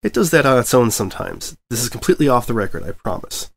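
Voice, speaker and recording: A man's voice: mid-range voice, sing-song, fast, vocal fry, husky, heavily acted. A man voicing a flustered young male pony newspaper reporter who rambles nervously.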